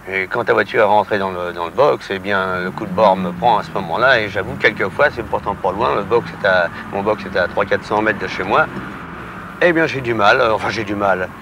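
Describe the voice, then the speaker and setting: Low-voiced cabbie over traffic noise. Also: male voice. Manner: halting, repeats words, colloquial. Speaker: taxi driver interviewee. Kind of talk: spontaneous street interview. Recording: 1960s archive, clear traffic noise. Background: street and vehicle noise.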